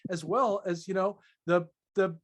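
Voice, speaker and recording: A man's voice: high voice, steady tone, unhurried. A man hosting a conversational interview in an effusive, affirming, wordy manner. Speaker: interviewer, host.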